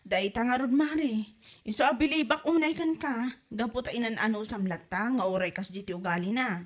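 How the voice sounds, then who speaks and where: A woman's voice: fairly high pitch, moderate pace, lightly acted. A woman with an Ilocano accent playing a chatty, sympathetic neighbour and confidante in a comedy drama.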